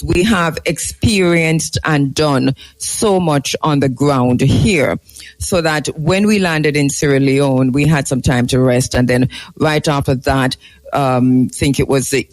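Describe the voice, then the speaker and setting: Guy doing live radio chat, voice a bit high, thoughtful, repeats himself for emphasis. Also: poor audio. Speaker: radio host. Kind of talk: conversational live radio talk.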